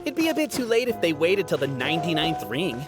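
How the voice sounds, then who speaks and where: A woman playing a sharp-tongued, matter-of-fact companion in a video game, with a dry manner. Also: low voice, sing-song, brisk, over music.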